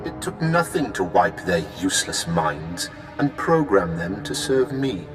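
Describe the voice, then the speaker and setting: An actor playing a cold, cruel Sith villain in a video game, smug and deliberate in his menace. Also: sits low, big pitch swings, music in the background. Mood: gloating. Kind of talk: video game cutscene acting.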